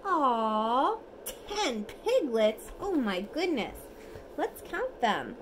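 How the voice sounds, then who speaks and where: A woman in her thirties reads a storybook to a small child. Her high voice swoops in a lively, sing-song lilt, and she takes her time, encouraging the listener. It is recorded on a phone at home, with a faint noise behind her. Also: drawn-out words. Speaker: mother reading a bedtime story. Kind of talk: read-aloud storytelling to a toddler. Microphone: phone at arm's length.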